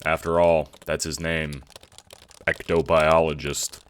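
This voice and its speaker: Someone doing a deadpan sarcastic teen boy, really deep voice, irritable, audio drama, bit noisy recording. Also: takes it slowly. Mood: deadpan, jaded. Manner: deadpan, foul-mouthed. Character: jaded, sarcastic, irritable teenage boy gamer. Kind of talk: audio drama character acting.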